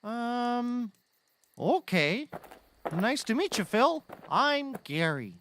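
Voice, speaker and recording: A man plays a naive young male sidekick in an audio comedy. He is earnest and eager, with an animated, swooping voice taken at an unhurried pace. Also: very high-pitched, slight background noise, heavily acted. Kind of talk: scripted audio comedy acting.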